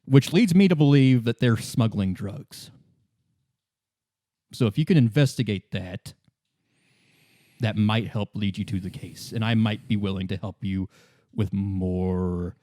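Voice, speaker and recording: A man's voice: lowish voice, a little slow, pauses often, mellow, dry recording, studio quality, lightly acted. A man voicing a sly, flirtatious female company negotiator in a tabletop roleplay, coy and insinuating. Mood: probing.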